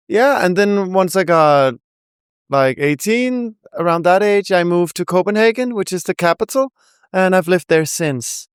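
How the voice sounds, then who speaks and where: Danish-accented young woman, guest rapper; smooth, dry close voice, giggly storyteller. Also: on the low side, no echo. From Denmark. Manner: chatty, laughs often, rambling asides. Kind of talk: casual interview chat.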